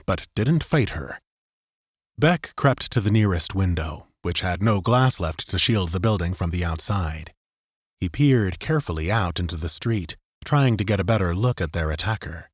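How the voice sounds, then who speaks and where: A man's voice: low voice, no echo, clean studio sound. A man narrating dramatic fiction as a scripted audiobook.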